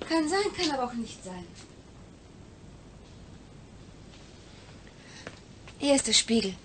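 An actress playing a polite, evasive hospital nurse in a German radio play. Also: female voice, high-pitched, sing-song, fast, fairly bright tone, slight background noise.

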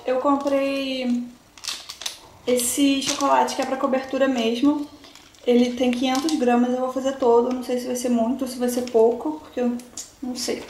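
A woman's voice: high voice, darkish tone, reverberant, a little hiss or hum. A young woman in her twenties from Brazil, chatting through a cooking tutorial in her home kitchen and explaining each step to her viewers. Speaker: cooking vlogger.

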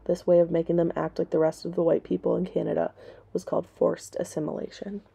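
A woman reading aloud scripted story and history narration for listeners in a measured, plain way. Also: fairly low pitch, flat delivery, creaky, mellow, slightly rough. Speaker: storybook reader and history presenter.

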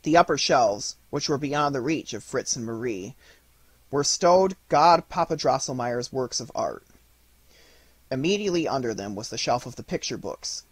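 A man's voice: mid-range voice, animated, moderate pace, husky, no room sound. A man reading an audiobook, giving scripted storybook narration. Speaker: audiobook reader.

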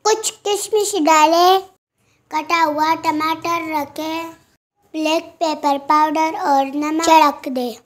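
A woman's voice: high voice, steady tone. A young girl hosting a home cooking video, giving step-by-step recipe instructions and stumbling over words now and then. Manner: halting, repeats words when stuck. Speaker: cooking show host.

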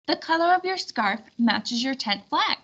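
A woman's voice: high-pitched, lively intonation, a little slow, bright, forceful tone, exaggerated voice. A woman voicing a practical, cheerful medieval lady in a children's storybook. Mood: instructing.

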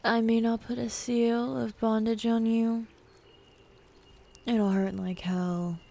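A young woman voicing a bossy, teasing demon woman in an intimate, half-whispered ASMR roleplay, with a husky, breathy voice and a sardonic drawl. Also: on the high side, flat delivery, takes it slowly, dark tone. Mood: bossy, menacing.

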